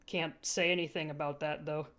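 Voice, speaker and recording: A woman voicing a reserved security android in an audiobook, speaking in a dry, flat, understated manner. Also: low-pitched, moderate pace, pitched below the performer's own voice. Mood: reserved, hesitant.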